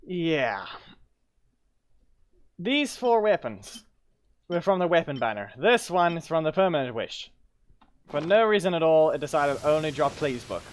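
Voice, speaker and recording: A man's voice: a little high, big pitch swings, measured pace, long pauses. A man giving casual gameplay commentary as a streamer, in a rambling, self-correcting way with muttered asides. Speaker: game streamer. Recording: clearly noisy stream audio with clicks.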